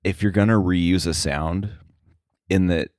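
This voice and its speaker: A man chatting casually as an interview guest, halting and thinking aloud as he explains. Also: low-pitched, a little mellow, dry recording, studio quality. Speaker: podcast guest, sound editor.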